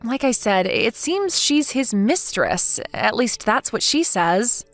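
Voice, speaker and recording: A woman playing a wronged mother in her forties in a dramatized story, earnest and aggrieved but measured. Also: on the high side, sing-song, no echo, soft background music, heavily acted. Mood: worried, polite. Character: wronged mother over forty, indignant yet polite.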